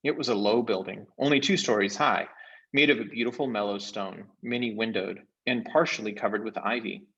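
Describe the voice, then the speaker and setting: A man reading a storybook aloud as an expressive narrator. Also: on the low side, a little fast, husky, dull audio.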